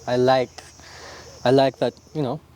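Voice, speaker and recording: Young man, easygoing and thoughtful, mellow tone, faint night insects behind. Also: mid-range voice, measured pace, a little hiss or hum. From Uganda. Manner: relaxed, reflective, many "yeah?" and "you know?" tags. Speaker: interview guest, illustrator. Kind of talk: casual interview answers.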